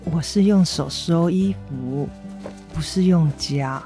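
A woman's voice: sits low, slow pace, mellow, faint music behind. A woman with a Taiwanese Mandarin accent acting a mild, stubborn injured mother in a radio drama. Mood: mildly defensive. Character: injured mother, mild, stubborn, defensive toward her son.

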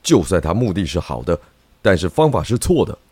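A man's voice: low voice, very animated, fairly quick, mellow, breathy edge, dry recording, heavily acted. A man with a Taiwanese accent playing an indignant, righteous pig detective in a children's radio drama, grumbling and emphatic.

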